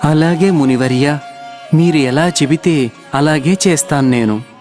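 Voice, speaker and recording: A man's voice: a little high, a little slow, a little mellow, natural voice. A man playing an earnest, selfless, devout villager in a children's cartoon story, with music under him. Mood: respectful, eager.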